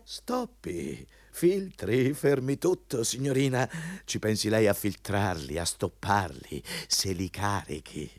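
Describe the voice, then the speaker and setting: Scornful, animated male boss. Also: lowish voice, slow pace, dry recording, full character voice. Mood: irritated, bossy. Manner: scornful, overbearing. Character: cynical, vulgar, bullying male company executive, rabidly anti-communist. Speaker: radio drama actor. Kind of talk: radio drama acting.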